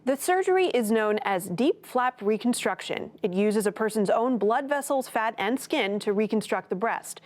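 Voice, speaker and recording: A woman correspondent reading a scripted news report in a measured, clear way, then introducing a guest. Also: on the high side, expressive. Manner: measured, clear newsreader delivery.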